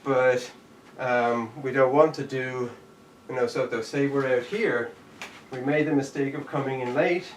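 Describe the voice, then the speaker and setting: A man's voice: medium pitch, steady tone, measured pace, hardly any pauses. A man teaching a jujitsu class online, talking through each move as he demonstrates it and calling out the steps. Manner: demonstrating moves while talking, counting out steps. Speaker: jujitsu instructor. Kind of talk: live online martial arts class. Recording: echoey room, distant mic, faint background noise.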